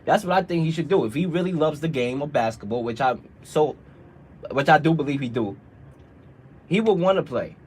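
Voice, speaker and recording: A man's voice: fairly high pitch, lively intonation, fairly quick, a little mellow, a little hiss or hum. A man hosting a casual sports debate, making his points emphatically with repeated rhetorical questions. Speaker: radio show host.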